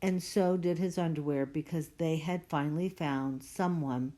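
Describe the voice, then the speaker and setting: A woman's voice: low-pitched, steady tone, normal speed, mellow. A woman reading a children's storybook aloud expressively, stretching out the spooky words for dramatic effect. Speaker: storybook reader.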